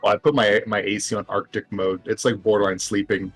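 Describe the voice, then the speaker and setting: A man chatting casually and haltingly with friends during a video game. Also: fairly low pitch, little pitch movement. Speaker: gaming video co-commentator. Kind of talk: casual chat over a game session. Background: game music.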